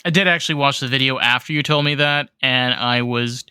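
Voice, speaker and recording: Clear, bright-voiced podcast co-host bantering freely. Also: fairly even intonation, brisk. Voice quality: deep voice compared with co-host. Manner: informal, swearing freely. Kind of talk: casual banter.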